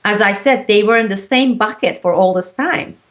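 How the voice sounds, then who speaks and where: Interview guest: woman, Turkish first language, earnest and explanatory, mid-pitched, halting with fillers. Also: a bit bright. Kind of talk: interview conversation.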